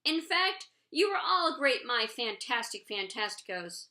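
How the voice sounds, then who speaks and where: A woman's voice: a little high, very animated, a little slow, sharp tone, echoey room, voice pushed up, full character voice. A woman voicing an encouraging male schoolteacher addressing his class in a storybook, with emphatic delivery. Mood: encouraging, approving.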